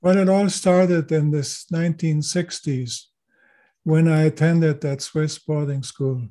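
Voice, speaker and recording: Older man, measured formal speech. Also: on the high side, steady tone, dark tone. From Chile. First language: German. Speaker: guest. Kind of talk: podcast interview.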